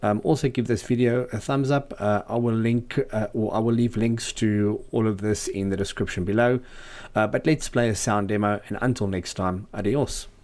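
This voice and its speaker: Guy with a lowish voice doing a scripted how-to voiceover for a diy build. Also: fairly even intonation, moderate pace, a little mellow. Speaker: host, DIY speaker builder. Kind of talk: scripted narration of a build tutorial.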